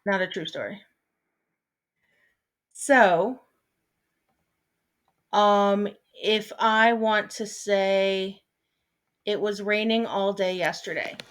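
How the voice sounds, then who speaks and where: A woman in her thirties, a teacher, explaining a lesson in a relaxed, chatty way, with frequent ums and small asides. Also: medium pitch, extremely slow, pauses often. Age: 30s. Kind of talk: recorded online lesson. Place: at home, seated at a desk.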